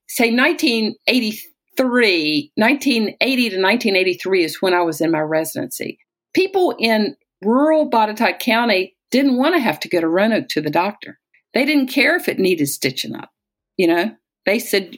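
An American woman in her sixties from Southwest Virginia, telling personal stories conversationally, as if in an interview, often quoting people she has known. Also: a little high. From Southwest Virginia, United States. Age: 60s. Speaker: interviewee, retired-age physician and poet.

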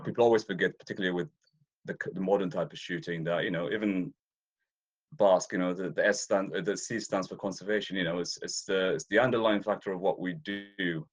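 A man from Ethiopia, a deer stalker as interview guest, talking casually with many fillers and restarts. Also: low-pitched. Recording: dull lo-fi audio.

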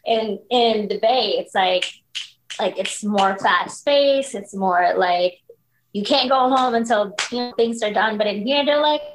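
A woman's voice: mid-range voice, a little slow, background noise. A woman, candidly telling her story as a podcast guest. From Philippines. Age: 30s. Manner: candid, storytelling, frequent likes and you knows. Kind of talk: casual interview conversation.

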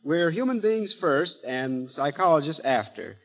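Precise professor, slightly high male voice. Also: very animated, a little slow. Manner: precise, didactic, formal. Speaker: guest psychologist, professor. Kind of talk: scripted 1930s radio lecture.

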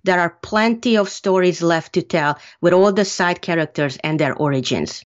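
A woman from Hungary with a fairly low voice answers interview questions in a measured, earnest way. The recording sounds muffled and lo-fi. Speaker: author being interviewed.

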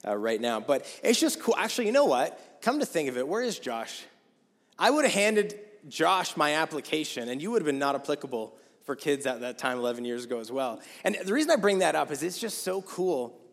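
A man in his thirties preaching a sermon in a casual, chatty way, his voice going hoarse. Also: mid-range voice. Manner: casual, conversational, with many fillers. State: losing his voice. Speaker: guest preacher. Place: church sanctuary. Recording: long hall reverb.

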